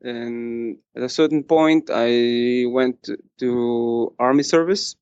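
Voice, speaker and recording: A man speaks in a smooth, resonant voice that sits close to the mic with almost no room sound. His English comes haltingly, full of pauses and restarts, and the recording sounds a little dull and muffled. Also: mid-range voice, darkish tone, dry recording. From Israel. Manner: halting, many fillers and restarts, non-native phrasing. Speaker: interview guest, tailoring business owner. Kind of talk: casual interview talk.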